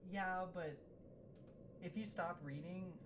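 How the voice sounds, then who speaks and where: Guy doing a fretful naive sidekick, polite and hesitant, clear noise in the background. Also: sits high, slow pace. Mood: worried. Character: nervous, earnest, naive male underling of a judge. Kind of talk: audio comedy drama acting. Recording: noticeable background noise and ambience.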